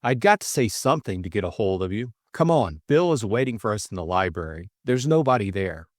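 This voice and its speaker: A man voicing a brisk, conspiratorial young gentleman in an audiobook drama, with a hurried, casual manner. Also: lowish voice, animated, dry recording, studio quality, lightly acted. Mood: hurried, secretive.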